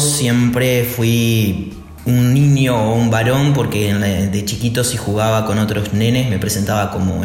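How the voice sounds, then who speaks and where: An Argentine man in his thirties talks haltingly, his pace speeding up and slowing down as he recounts his story. His voice has a mid pitch and carries a noticeable room echo. Soft music plays underneath. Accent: Argentine Spanish. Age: 30s. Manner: thoughtful, halting, uneven pace. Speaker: interview guest. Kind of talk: personal storytelling in an interview. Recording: echoey room.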